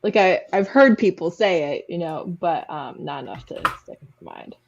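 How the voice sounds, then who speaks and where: A woman's voice: on the low side, lively intonation, normal speed, gravelly, lo-fi sound, noisy background. A woman chatting casually with friends as a guest on a livestream. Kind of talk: casual group chat.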